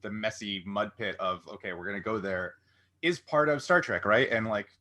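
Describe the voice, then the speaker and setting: A man with a bright, sharp-edged voice hosts a relaxed interview chat. His pitch sits a little low, and he feels his way through sentences, pausing to find the words. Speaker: interview host.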